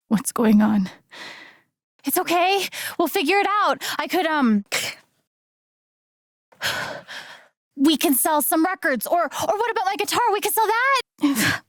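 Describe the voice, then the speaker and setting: A woman's voice: very high-pitched, no echo, studio quality, full character voice. A woman voicing an earnest young woman in a video game, heartfelt and hesitant, with raw emotion in a naturalistic dramatic style. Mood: timid, pleading.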